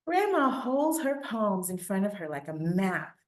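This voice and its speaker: A woman with a gentle, measured manner, talking informally and reading a children's story aloud. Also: mid-range voice, big pitch swings. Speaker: children's book illustrator.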